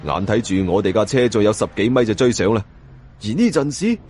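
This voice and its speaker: A man's voice: fairly low pitch, sing-song, brisk, a little mellow, slight background noise. A man with a Hong Kong Cantonese accent narrating a suspense novel as a dramatic audiobook storyteller.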